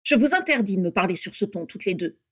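A woman's voice: high-pitched, lively intonation, unhurried, a little mellow, slightly rough, voice pushed up, full character voice. A woman voicing an irritable middle-aged wizard father, nasal and aggressive, in a storybook. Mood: indignant. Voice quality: nasal, disagreeable. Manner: aggressive, indignant.

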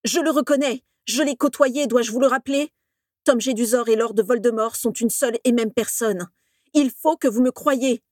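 A woman's voice: medium pitch, animated, moderate pace, a little mellow, studio quality, close to an everyday voice. Lady reading as a young wizard guy, urgent n firm, storybook style, no echo at all. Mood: heated, insistent. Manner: firm, insistent. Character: determined, urgent young man, former pupil wizard.

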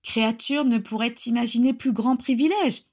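A woman voicing a fawning, devoted male house-elf servant in a grating, creaky voice, for a fantasy story reading. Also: fairly high pitch, moderate pace, darkish tone, pitched above the performer's own voice. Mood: flattered, devoted. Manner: obsequious, speaks of himself in third person.

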